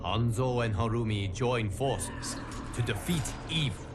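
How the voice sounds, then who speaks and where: A man voicing a solemn, godlike male guardian of the timelines, narrating game cutscenes in a grave, measured way. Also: medium pitch, music in the background, natural voice.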